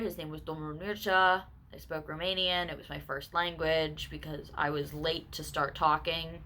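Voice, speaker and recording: Young woman vlogging her life story, bright voice, candid and chatty, keeps correcting herself. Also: deep voice, a little hiss or hum. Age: 20s. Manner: chatty and candid, with quick asides and self-corrections. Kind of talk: casual personal storytelling.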